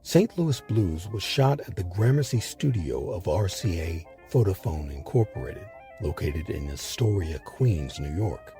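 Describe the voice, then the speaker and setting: A man hosting a history series, reading scripted documentary narration with measured, emphatic phrasing. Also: sits low, lively intonation, mellow, faint music behind.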